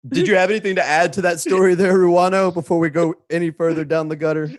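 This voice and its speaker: A man with a fairly high voice talks in a loose, joking group chat. He is crude and playful, swearing freely, and his home video-call sound is dry and close. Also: fairly even intonation, quick pace, no room sound. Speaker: writer on a cast Q&A panel.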